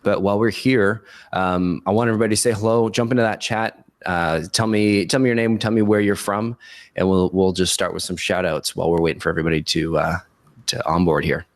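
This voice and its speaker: A man with a low voice, raspy from the tail end of a cold, hosts a podcast webinar. He talks informally, addressing people like buddies. The sound is dry and close. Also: steady tone, a little fast, no echo. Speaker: podcast host. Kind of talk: casual webinar hosting.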